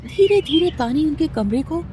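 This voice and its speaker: A woman's voice: high-pitched, expressive, moderate pace. A woman narrating a scripted cartoon story as its storyteller. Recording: music behind the voice.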